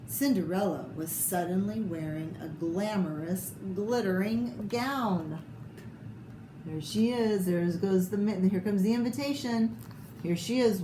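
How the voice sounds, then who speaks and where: A woman reading a children's picture book aloud with expressive, theatrical delivery and short asides about the pictures. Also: mid-range voice. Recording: echoey room with clear background noise.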